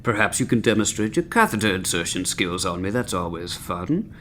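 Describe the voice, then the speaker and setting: An actor playing an arrogant, sardonic middle-aged chief medical officer who drinks, with pompous, biting wit, in a sci-fi comedy audio drama. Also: male voice, on the low side, big pitch swings, brisk, fairly bright tone, a little hiss or hum. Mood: sarcastic. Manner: biting, droll sarcasm with pompous wording.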